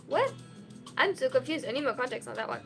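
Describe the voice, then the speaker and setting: Woman, 21, chatty high-voiced streamer answering chat, with faint music underneath. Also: lively intonation, fast talker. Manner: chatty and giggly, full of "like" and "um". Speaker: streamer and college student. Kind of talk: casual livestream chat answering viewer questions.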